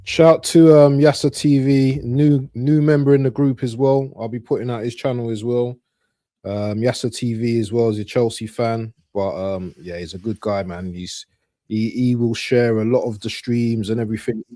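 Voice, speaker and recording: Male football stream host, dark relaxed tone, dry recording, informal running commentary. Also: mid-range voice, moderate pace, no echo. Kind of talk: casual live match commentary and chat.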